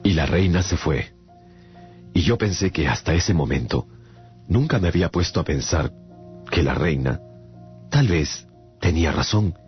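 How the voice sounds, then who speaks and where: A man's voice: deep voice, animated, unhurried, pauses often, muffled recording, faint music behind. A man playing a melancholic traveler who narrates his own adventures in a dramatized radio story. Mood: reflective, melancholic. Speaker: narrator and lead actor.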